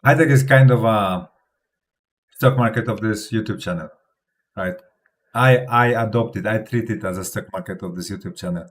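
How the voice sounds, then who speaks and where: Host, male, low-ish voice, confident rambling crypto livestream chat. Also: normal speed. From Poland. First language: Polish. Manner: rambling, self-assured, laughs often, restarts words. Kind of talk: casual livestream commentary.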